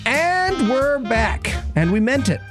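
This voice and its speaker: A man's voice: very high voice, big pitch swings, slow, music in the background. A man hosting a comedy podcast with wry, teasing banter. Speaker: podcast host. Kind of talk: comic banter.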